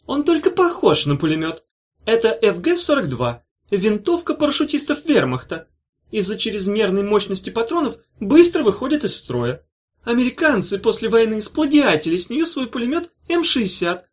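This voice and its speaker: A man reading an audiobook, voicing a stubborn former witch woman who mocks her captors, in a husky voice. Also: high-pitched, very animated, normal speed, pitched above the performer's own voice. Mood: mocking.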